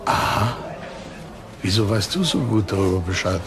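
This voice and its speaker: An actor playing a bossy, dry-humoured police investigator in a crime radio play. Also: male voice, on the low side, lively intonation, moderate pace, noisy background, natural voice. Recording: busy chatter in the background.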